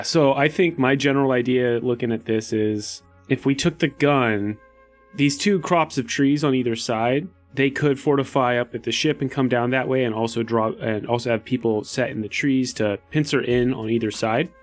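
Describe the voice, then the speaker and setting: A man playing a tabletop game, talking through ideas in a casual, conversational way. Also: medium pitch. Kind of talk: casual strategizing chat.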